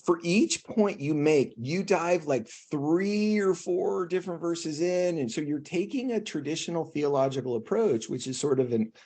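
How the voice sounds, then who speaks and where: An enthusiastic male podcast host with a slightly husky voice. He talks in a loose, conversational way during the interview. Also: on the high side, animated, moderate pace, few pauses, a little mellow. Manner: enthusiastic, complimentary.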